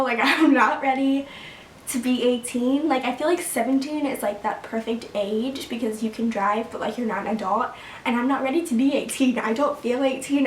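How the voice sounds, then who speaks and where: A teenage girl with a fairly high voice rambles casually to camera, full of fillers and quick laughs. She sits back from the mic in an echoey room, with a faint rumble underneath. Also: recorded from a distance. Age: teens. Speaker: vlogger. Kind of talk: casual sit-down chat to camera. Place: her room at home.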